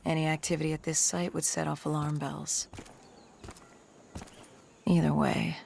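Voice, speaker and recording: A woman playing a haunted, cybernetically enhanced soldier who gravely recounts humanity's fall in a video game. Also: low-pitched, flat delivery, measured pace, a little mellow, slight background noise, exaggerated voice. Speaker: protagonist narrator. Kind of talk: cinematic video game narration. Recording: music behind the voice.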